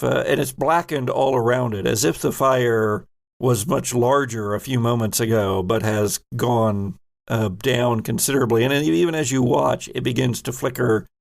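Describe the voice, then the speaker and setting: A man narrating a horror sci-fi tabletop game as game master, descriptive, with deliberate halting pauses. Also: mid-range voice, creaky, a little mellow.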